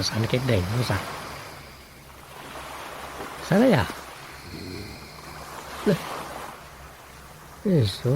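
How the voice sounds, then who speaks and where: An actor with an Ilocano Filipino accent playing an easygoing, persuasive husband in a comedy radio drama, chatty and coaxing. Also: male voice, fairly high pitch, vocal fry, noisy background, exaggerated voice. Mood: persuasive, cheerful.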